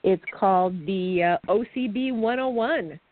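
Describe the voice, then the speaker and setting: A woman's voice: medium pitch, a little slow, dry recording. A woman hosts a podcast in casual, upbeat talk and laughs easily. Her voice comes through dry, with no room sound, and thin like a phone line. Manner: upbeat, laughs often, chatty. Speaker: podcast host. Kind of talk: casual chat.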